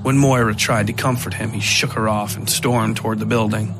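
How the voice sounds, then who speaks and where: A man's voice: lowish voice, steady tone, brisk. A man reading a scripted horror story as its narrator. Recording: music behind the voice. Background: music.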